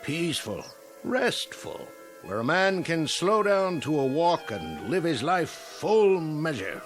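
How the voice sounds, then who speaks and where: A folksy nineteenth-century train conductor in a radio play. His high voice moves freely up and down, matter-of-fact and unhurried in temper, with faint music underneath. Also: big pitch swings. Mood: genial, matter-of-fact. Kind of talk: radio drama acting.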